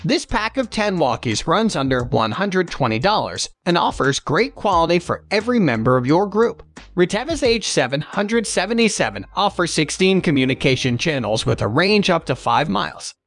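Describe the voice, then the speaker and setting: A man's voice: fairly high pitch, sing-song, moderate pace, fairly bright tone. A man narrating a scripted product review, reading stiffly from the script.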